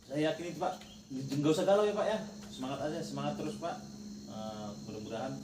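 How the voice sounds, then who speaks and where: A man's voice: a little high, quick pace. Encouraging North Sumatran man, distant and echoey. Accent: North Sumatran Indonesian. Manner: encouraging, repeats affirmations. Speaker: teacher acting as peer coach. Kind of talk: supportive coaching conversation. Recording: distant echoey recording, insect noise.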